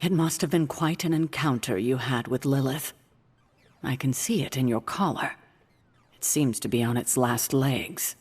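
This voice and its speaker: Game mentor: low, husky female voice, earnest, weary and proud, set in a long reverberant hall. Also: measured pace, darkish tone, full character voice. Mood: grave. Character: weary, proud, self-sacrificing woman mentor without powers. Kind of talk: dramatic video game dialogue.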